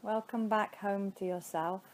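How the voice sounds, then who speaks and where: A woman teaching yoga nidra, guiding a meditation in a soothing, unhurried way with spacious pauses between phrases. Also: medium pitch, fairly even intonation, low-quality recording. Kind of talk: guided meditation.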